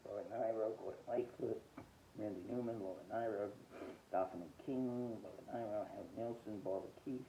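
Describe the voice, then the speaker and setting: A man hosting a casual, rambling music collection review, listing titles haltingly and giving blunt, short verdicts. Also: lowish voice, fairly even intonation, warm tone, a little hiss or hum, rough recording. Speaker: host of a music collecting podcast.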